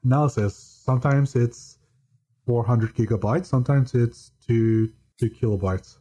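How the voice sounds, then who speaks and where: Man, deadpan, monotone, mellow dark tone, casual podcast chat, halting. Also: mid-range voice, slightly rough. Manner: halting, restarts often. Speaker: podcast guest.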